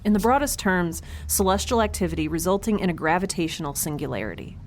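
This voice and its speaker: A lowish, expressive female voice acted for audio drama. She is a defiant, wry spacefarer who talks conversationally, with sarcastic hesitations. Faint background noise sits under her. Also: quick pace, natural voice. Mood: evasive. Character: defiant, wry young spaceship woman, self-described farmer-zookeeper.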